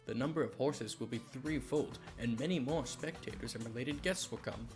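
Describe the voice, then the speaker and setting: Man playing a gravelly-voiced formal aide. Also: medium pitch, moderate pace, over music, natural voice. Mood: worried, reporting. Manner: formal, businesslike, reporting. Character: formal, dutiful male aide to a race promoter. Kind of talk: dramatic manga dub acting.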